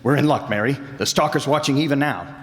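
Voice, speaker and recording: A man's voice: medium pitch, fast, fairly bright tone, close to an everyday voice. A man playing a wry, confident amateur detective in an old-time radio drama. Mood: focused, assured. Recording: noisy echoing hall.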